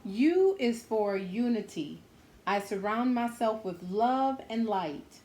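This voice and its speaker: A woman's voice: on the high side, lively intonation, takes it slowly, warm tone, a little hiss or hum. A woman reading a children's book aloud, adding simple, encouraging asides spoken directly to her young listeners. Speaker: children's story-time host reading a picture book aloud.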